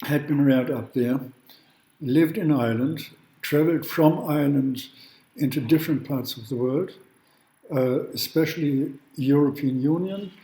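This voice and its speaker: A man from Germany, a law professor giving a university lecture in a halting way, with restarts and throat clearing. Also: a little high, warm tone. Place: large classroom. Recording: echoey room.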